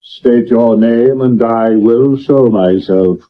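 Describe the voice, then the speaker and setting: A man acting an imperious, self-assured Victorian gentleman detective in a 1970s radio drama, English-accented, pompous and unruffled. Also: medium pitch, slow, natural voice. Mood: firm. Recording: dull lo-fi vintage radio recording.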